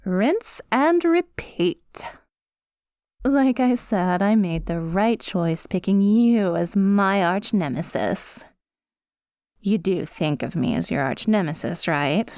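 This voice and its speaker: A woman's voice: medium pitch, darkish tone, no room sound, studio quality. A woman playing a needy, taunting female supervillain obsessed with a hero, in a theatrical, coy ASMR roleplay monologue. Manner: theatrical, coy, swooping between pouty and menacing.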